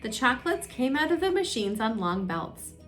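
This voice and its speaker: A woman's voice: a little high, sing-song, normal speed, fairly bright tone, faint music behind. A woman reading a children's storybook aloud as a story time host, expressively, drawing out suspenseful phrases.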